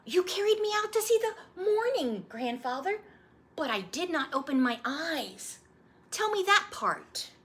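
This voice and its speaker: A woman's voice: extremely high pitch, big pitch swings, unhurried, a bit bright, voice pushed up, full character voice. A woman voicing an earnest, eager young boy who asks pleading, insistent questions in a storybook read-aloud.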